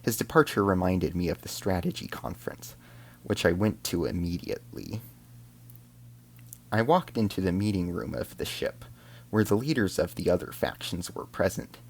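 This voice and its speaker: Deep, gravelly man narrating science fiction. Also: animated, normal speed. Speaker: narrator in character as an alien soldier. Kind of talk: scripted first-person science fiction narration.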